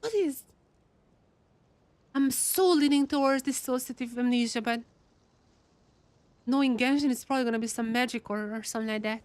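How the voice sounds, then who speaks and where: A woman giving excitable, casual live reactions as she streams a game, often repeating her words. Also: on the high side, normal speed, pauses often, no echo.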